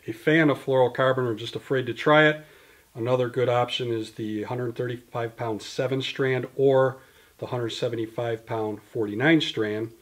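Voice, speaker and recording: Man, fifties, tackle company guest; detailed, explanatory delivery, filmed on a camera mic in a small indoor studio. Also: medium pitch. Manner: detailed, explanatory, gesturing with products in hand. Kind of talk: product explanation interview. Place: indoor studio in front of a banner.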